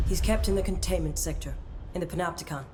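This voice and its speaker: A woman plays a secretive agency official in a video game. Her voice sits a little low, measured and grave, with stern authority and a faint rumble behind it. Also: warm tone, close to an everyday voice. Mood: matter-of-fact.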